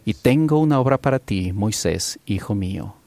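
A man delivering a church sermon in a calm, deliberate and reverent way, as an interpreter. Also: lowish voice, creaky.

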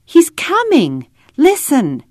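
A woman's voice: fairly high pitch, animated, unhurried, no room sound, studio quality, pitched above the performer's own voice. A woman voicing a brave, devout young pioneer girl in a children's story, earnest and trusting. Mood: excited.

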